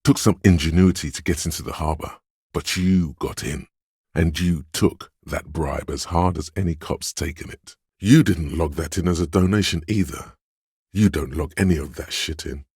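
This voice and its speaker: Game casting: slick inner voice of style, extremely low bass, coaxing and theatrical, close dry sound. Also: male voice, moderate pace, darkish tone, no echo, heavily acted. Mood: swaggering hype. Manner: smooth, theatrical, coaxing second-person address. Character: inner voice of agility and style, slick urging hustler. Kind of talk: video game inner-monologue voice lines.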